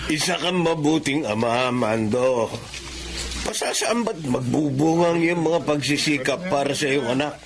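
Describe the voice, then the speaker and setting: A man's voice: fairly high pitch, unhurried, creaky, a crowd in the background. An actor playing a kindly, wise older village man who gives fatherly advice. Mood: gentle. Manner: gentle fatherly advice, shouting orders in emergency. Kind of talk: radio drama acting.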